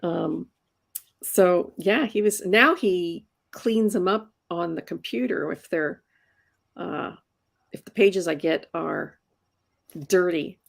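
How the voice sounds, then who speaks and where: A woman's voice: fairly low pitch, slow, creaky. A woman in her sixties, a comics inker, talking casually in an interview and often restarting her words. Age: 60s. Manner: chatty, repeats words when restarting.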